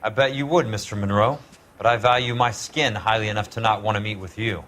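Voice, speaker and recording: An actor in a noir video game playing a tough, sardonic, principled ex-military investigator with wry, clipped delivery. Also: male voice, on the low side, steady tone, brisk, fairly bright tone, a little hiss or hum, close to an everyday voice. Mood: wry, defiant. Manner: wry, hard-boiled, clipped.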